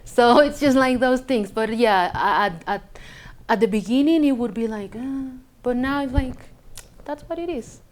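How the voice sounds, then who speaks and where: A woman's voice: on the high side, moderate pace. A woman from Chile, a native Spanish speaker with a Chilean Spanish accent, talking casually and reflectively about her own life, sometimes hesitating to search for English words. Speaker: interviewee telling a personal story. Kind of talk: casual reflective personal talk.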